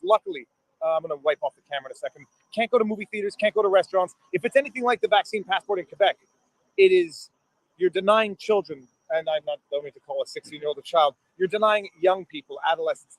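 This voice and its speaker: A man's voice: high voice, big pitch swings, fast, dry recording, rough recording. A man, talking as a live street interviewer, chatty and digressive, repeating the starts of his questions. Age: 40s. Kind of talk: spontaneous on-street interview and commentary.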